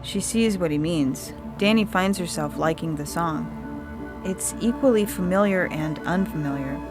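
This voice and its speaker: A woman's voice: lowish voice, moderate pace, music in the background, rough recording. A woman reading scripted fiction as a story narrator. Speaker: story reader.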